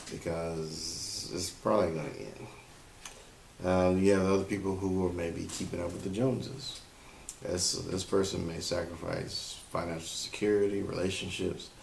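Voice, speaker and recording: A man hosting a solo advice show, talking unscripted and pausing on fillers as he works through his points. His voice sits very low with a gravelly fry and little rise or fall. The room echoes noticeably, with a faint hiss behind him. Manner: unscripted, thinking aloud with many fillers. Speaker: podcast host. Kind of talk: solo relationship advice talk.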